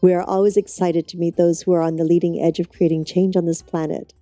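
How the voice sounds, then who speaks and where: A woman hosts a wellness interview program. Her voice is deeply mellow and warm-toned, and the sound is dry, with no room echo. She is gracious and laughs readily with her guest. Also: level tone, fast talker, soft background music. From Hong Kong. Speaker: host. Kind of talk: talk show interview.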